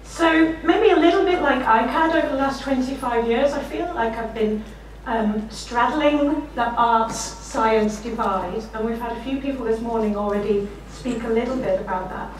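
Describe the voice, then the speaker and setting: A middle-aged woman with a slightly croaky voice, giving a conference keynote with self-deprecating anecdotes. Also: fairly high pitch, moderate pace, few pauses, a little hiss or hum. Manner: warm anecdotal, self-deprecating, often laughing while speaking. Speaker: keynote speaker. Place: lecture room, standing behind a desk. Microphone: room mic, far from speaker. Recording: echoey lecture room from far off.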